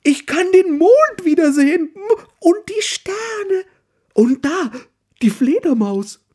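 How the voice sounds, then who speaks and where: A storyteller voicing a big, easygoing, cheerful female bear in a children's story, drawn-out and high when she exclaims. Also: sing-song, gravelly, warm tone, full character voice. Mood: delighted.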